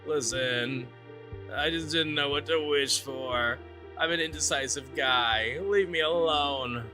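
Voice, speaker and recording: A man voicing a hesitant, defensive young traveler in a video game, speaking in a halting, drawn-out, unsure way. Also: on the high side, bright, forceful tone. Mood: defensive, sheepish. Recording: music behind the voice.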